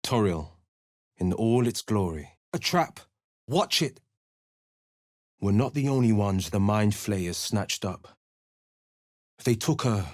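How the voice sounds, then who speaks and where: A young warlock hero for a game, lively swooping voice, slow and dry. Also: male voice, lowish voice, no echo. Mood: resolute. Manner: earnest, crisp one-liners. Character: young male warlock hero, dashing and dutiful. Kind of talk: video game voice lines. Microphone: close studio mic.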